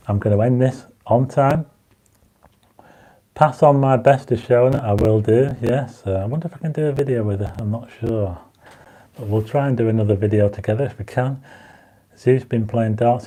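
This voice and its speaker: Casting: man, croaky dry-mouthed livestream host, mellow tone, chats with viewers. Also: mid-range voice, moderate pace, rough recording. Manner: reads viewer comments aloud, urges likes; enthusiastic when explaining stars. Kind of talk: casual livestream chat. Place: home astronomy studio.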